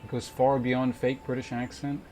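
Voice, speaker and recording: A man's voice: mid-range voice, level tone, moderate pace, darkish tone, a little hiss or hum. A man livestreaming a game, chatting casually and thinking aloud in a rambling, sometimes profane way. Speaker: game streamer. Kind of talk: casual livestream chat while playing. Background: game music.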